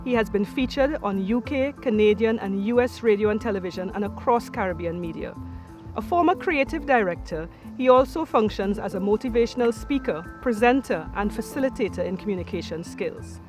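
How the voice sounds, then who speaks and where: A woman delivering a formal graduation citation, measured and ceremonial. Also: medium pitch, lively intonation. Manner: measured, rising to a proud proclamation at the close. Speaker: university orator. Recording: music bed underneath.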